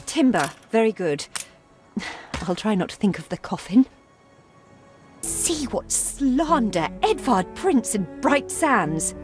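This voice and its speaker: A woman playing a proud, sharp-tongued, brisk young gentlewoman in a video game, speaking in a crisp, formal manner. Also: a little high, very animated, music in the background. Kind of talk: video game character dialogue.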